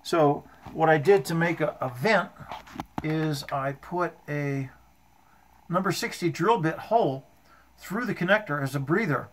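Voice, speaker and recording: An older man in his seventies with a slightly high voice for a man. He talks informally and plainly, walking through technical details of equipment like a hobbyist showing his work. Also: unhurried, a little hiss or hum. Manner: matter-of-fact, technical explaining. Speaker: amateur radio hobbyist. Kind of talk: informal show-and-tell of homemade equipment. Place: indoor home workshop.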